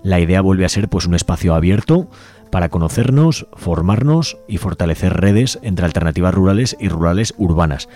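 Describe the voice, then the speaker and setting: A man's voice: low-pitched, animated, moderate pace. A man from Spain hosting a community radio show, speaking unhurriedly and often restarting and rewording his phrases. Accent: Peninsular Spanish. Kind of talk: unscripted radio talk segment. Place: radio studio. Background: soft music bed.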